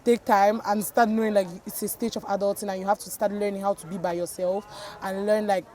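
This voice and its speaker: A woman's voice: mid-range voice, flat delivery, a little fast, a little mellow, a little hiss or hum. A young woman from Cameroon giving a casual interview answer, chatty and peppering her speech with "like". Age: young adult. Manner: chatty, heavy use of "like". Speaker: interviewee, foreign resident.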